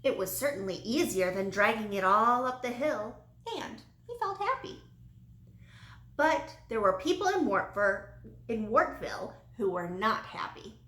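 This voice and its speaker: A woman reading a children's storybook aloud in an expressive, animated way with dramatic emphasis. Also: on the high side, unhurried. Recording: roomy, echoey sound.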